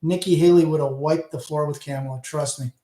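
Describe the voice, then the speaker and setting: Middle-aged Canadian host, Southern Ontario accent, rambling livestream chat with a fairly high voice, recorded in a roomy space. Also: male voice, steady tone, brisk, mellow. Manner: rambling, talks back to chat, trails off. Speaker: channel host. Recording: roomy echo.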